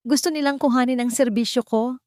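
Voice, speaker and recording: A woman voicing a cold, determined, vengeful woman designer in an audio drama, speaking with measured irony. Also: a little high, fairly even intonation, warm tone, no room sound, clean studio sound, pitched above the performer's own voice, close to an everyday voice. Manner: ironic, measured.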